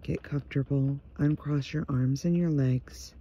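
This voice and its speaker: Slow, gravelly, mellow man guiding meditation. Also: mid-range voice, fairly even intonation. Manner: gentle, hushed, unhurried pauses between phrases. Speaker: meditation guide. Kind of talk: guided meditation, slow soothing narration. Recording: muffled, dull home recording with faint noise.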